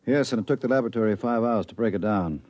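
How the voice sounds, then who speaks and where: A man playing a steady bureau chief giving a briefing, in 1950s radio drama style. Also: on the low side, fast, close to an everyday voice. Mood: matter-of-fact. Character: steady male bureau chief briefing his trusted investigator. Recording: muffled vintage 1950s radio recording.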